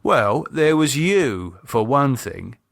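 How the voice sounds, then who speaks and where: A man's voice: medium pitch, big pitch swings, slow pace, gravelly, no echo, clean studio sound, heavily acted. A man playing an uneasy, reluctant man with a dryly sarcastic, hesitant manner in audiobook dialogue. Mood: defensive. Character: uneasy, sardonic adult man, wary and reluctant.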